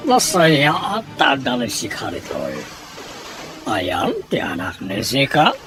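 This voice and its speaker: A man's voice: medium pitch, very animated, takes it slowly, music in the background. A man playing a domineering, scheming rich Señor who speaks in broken, choppy phrases in an audio drama. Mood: scornful, demanding. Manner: broken, choppy phrasing, refers to self in third person. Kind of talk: Ilocano audio drama acting.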